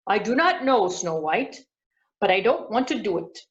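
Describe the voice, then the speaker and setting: A woman's voice: medium pitch, sing-song, normal speed, lightly acted. A woman voicing a kind, reluctant royal soldier in an earnest, obliging tone, on a dull lo-fi recording.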